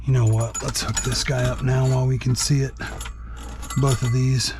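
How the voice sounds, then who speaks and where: A man's voice: mid-range voice, flat delivery, normal speed, background noise. A man giving a casual hands-on tutorial in a plainspoken way, thinking aloud as he works. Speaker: host and truck driver demonstrating an installation.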